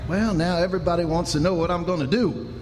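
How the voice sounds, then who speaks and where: An actor playing a good-natured, bumbling frontier sheriff, folksy, hesitant and wry, in a live western radio play. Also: male voice, high voice, fast, a little mellow, natural voice. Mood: puzzled, wry. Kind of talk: live old-time western radio drama acting. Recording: echoey hall with faint noise.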